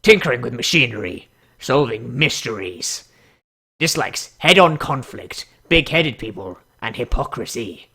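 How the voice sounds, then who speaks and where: A man's voice: medium pitch, animated, unhurried, sharp tone, slightly rough. A man giving casual comedic commentary and mock dramatic readings in a sarcastic, theatrical, foul-mouthed way. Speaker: YouTube host.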